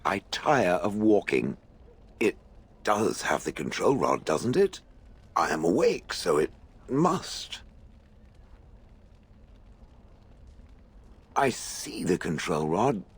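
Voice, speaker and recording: A haughty stone golem for a video game. The voice is very deep and swings widely in pitch. The delivery is world-weary, gravelly and dripping with formal sarcasm. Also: male voice, full character voice. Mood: dry disdain. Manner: dry, sarcastic, formal diction. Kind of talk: video game character acting.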